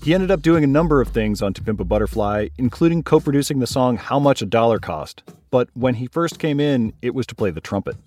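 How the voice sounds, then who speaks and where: A man hosting a podcast, narrating a scripted story in a measured, explanatory way. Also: mid-range voice, animated, a little mellow, faint music behind. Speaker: podcast host.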